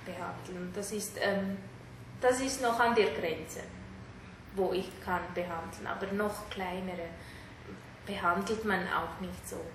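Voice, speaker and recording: A woman's voice: mid-range voice, unhurried. A middle-aged woman with a Sri Lankan accent, a native Tamil speaker, giving hesitant interview answers in simple phrasing. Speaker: interviewee, therapist. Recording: echoey room, clear background noise.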